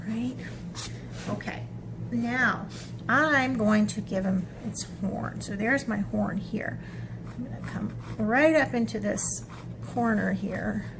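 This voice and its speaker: A woman with a somewhat high voice guides children through a drawing step by step. She is encouraging and informal, often thinking aloud as she works. Clear ambient noise runs behind her speech. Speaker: children's art teacher. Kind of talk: step-by-step drawing lesson with a storybook read-aloud.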